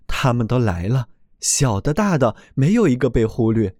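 A man voicing an ancient male oak tree in a storybook tale, speaking in a lowered, slow and wondering voice. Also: very animated, mellow, no echo, clean studio sound. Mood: overjoyed.

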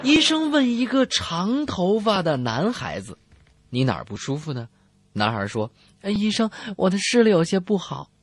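A man with a husky voice co-hosts a comedy radio show. He narrates jokes with very varied pitch, acting out the dialogue as he goes. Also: sing-song, normal speed. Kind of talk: animated joke telling on a radio comedy show.

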